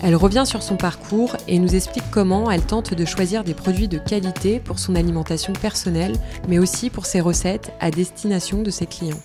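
A woman's voice: on the low side, brisk, few pauses, music in the background. A woman hosting a podcast in scripted narration. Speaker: podcast host.

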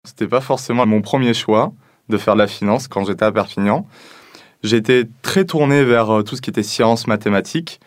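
A man's voice: fairly low pitch, moderate pace, no echo, studio quality. A man, talking informally about himself in an interview. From southern France. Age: 20s. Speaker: business school student interviewee.

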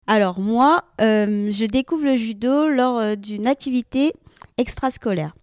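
A young woman from French Guiana with a fairly high, smooth and resonant voice. She answers interview questions in an unhurried, hesitant way, full of small fillers, and laughs easily. The recording is dry and close. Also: no echo. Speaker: guest athlete.

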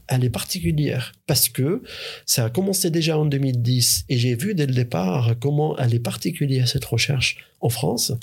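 A man's voice: mid-range voice, gravelly, a little mellow, husky. A man, a researcher, answering interview questions in a hesitant way, with many fillers and restarts. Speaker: researcher and university teacher.

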